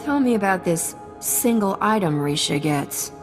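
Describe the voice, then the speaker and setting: Female actor as wry starship captain, animated, medium pitch, brisk game dialogue, long reverb. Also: music in the background, natural voice. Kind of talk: video game character acting.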